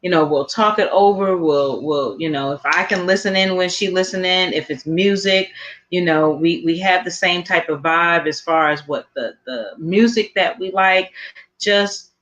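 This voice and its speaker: A podcast co-host with a slightly raspy, tired voice, making casual show announcements in a halting way that repeats words. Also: medium pitch, fairly bright tone, lo-fi sound.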